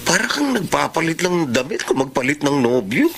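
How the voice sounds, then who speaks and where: A woman's voice: low-pitched, big pitch swings, a little fast, a little hiss or hum. A woman playing a frank, chiding young best friend in a Filipino radio drama, with a Filipino accent.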